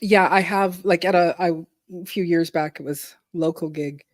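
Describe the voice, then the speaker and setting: A woman's voice: lowish voice, steady tone, a little slow, no echo. Chatty middle-aged woman with a dry sound. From Canada. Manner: chatty, laughs often, clears throat. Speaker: host. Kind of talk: casual live-stream chat.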